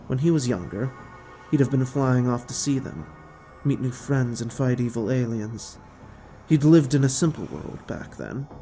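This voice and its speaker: A man's voice: mid-range voice, mellow. A man reading scripted science fiction drama narration in a dramatic storytelling style. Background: music.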